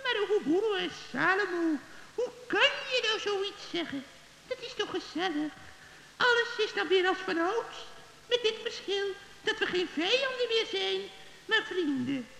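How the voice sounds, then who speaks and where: A piercingly high old witch, creaky and scheming. She gushes and wheedles in sugary tones, with echoey reverb and hiss around her. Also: lively intonation, normal speed, bright, forceful tone, heavily acted. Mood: gleeful. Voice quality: creaky, shrill. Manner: wheedling, gushing. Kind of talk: children's radio play acting. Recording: hissy old recording, echoey hall reverb.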